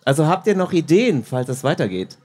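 A man with a husky voice hosting a live on-stage interview. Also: on the high side, very animated, a little fast, darkish tone. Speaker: interviewer, audiobook producer. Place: stage at a book fair.

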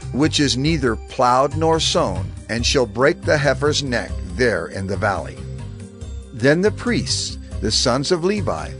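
A man narrating scripture in a measured, formal way for an audio drama. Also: mid-range voice. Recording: studio narration over music.

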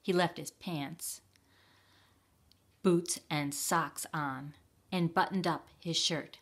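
A woman reading a children's picture book aloud in a deliberate, expressive storytime style. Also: low-pitched, slightly rough. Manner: deliberate, expressive, with playful sound words. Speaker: storytime reader at a library.

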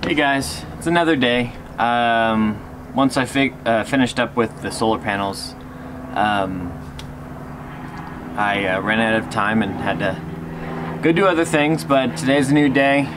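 A young man talking casually and a bit haltingly to camera, explaining a DIY job as a vlogger. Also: medium pitch, noisy background. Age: young adult. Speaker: DIY bus conversion vlogger.

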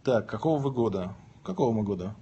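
A man's voice: fairly low pitch, animated, quick pace, dark tone, slightly rough, dull audio. A man in his thirties lying in bed, chatting on a livestream and joking as he reads viewer questions aloud and answers them. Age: 30s. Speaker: livestream host. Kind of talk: casual livestream chat reading viewer questions. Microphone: phone held at arm's length.

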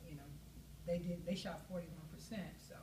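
Middle-aged woman coach, measured press-conference delivery, low-ish voice, roomy and distant with steady background noise. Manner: measured, thoughtful, restarts with "you know". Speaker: head basketball coach. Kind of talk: post-game press conference answers. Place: press room with backdrop, seated at table. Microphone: table mic, recorded from a camera across the room. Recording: echoey room recorded from afar, noisy.

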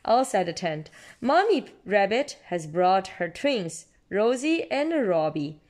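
A woman reads a picture book aloud to a small child at an unhurried pace. Her pitch moves up and down a lot, and she keeps the words simple and encouraging. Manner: expressive, encouraging, simple child-directed speech. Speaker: parent reading aloud to a child. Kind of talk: read-aloud children's storybook narration. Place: indoors at home, seated with book.

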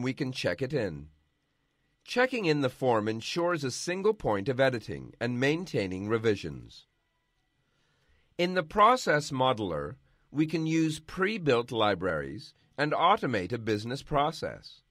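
Man narrating a software tutorial, lively pitch. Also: dry recording, studio quality. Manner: measured, instructional. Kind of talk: scripted narration.

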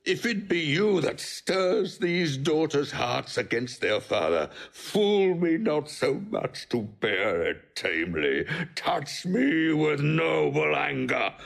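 Casting: aged tragic king, raised pitch and creaky voice, grand declamatory classical delivery. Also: sing-song, takes it slowly, few pauses, heavily acted. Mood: anguished. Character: wretched, raging old king, proud father. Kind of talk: classical Shakespearean audio drama.

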